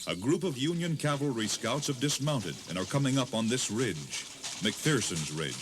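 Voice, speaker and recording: A man's voice: mid-range voice, animated, normal speed, noisy background. A man delivering scripted dramatic narration in a solemn, theatrical manner.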